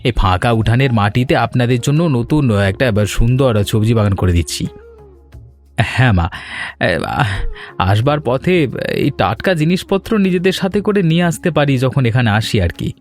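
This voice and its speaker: A man's voice: medium pitch, animated, moderate pace, close to an everyday voice. A man as an earnest Bengali son-in-law, faint music. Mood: helpful. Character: eager, respectful young village son-in-law, hardworking. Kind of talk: animated folk story dialogue. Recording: music bed under the voice.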